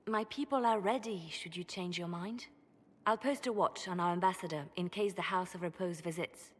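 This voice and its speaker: An actress playing a shrewd, ruthless spymaster in a video game, assured and pragmatic. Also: female voice, on the low side, normal speed, breathy edge. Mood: cool, insistent. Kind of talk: video game cutscene acting. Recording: long hall reverb.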